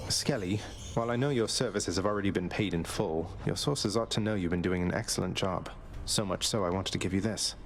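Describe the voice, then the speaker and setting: An actor playing a wry, rebellious young underworld prince in a video game, speaking in a dry, politely sardonic way. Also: male voice, low voice, fairly quick, hardly any pauses. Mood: wry, understated.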